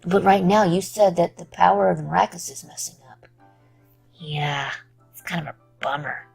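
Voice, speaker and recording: A woman voicing a worried, guilt-ridden young character in a fan audio drama, with halting delivery that slides into murmurs. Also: fairly low pitch, gravelly, a little mellow, breathy edge, faint music behind, heavily acted. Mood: downcast, worried.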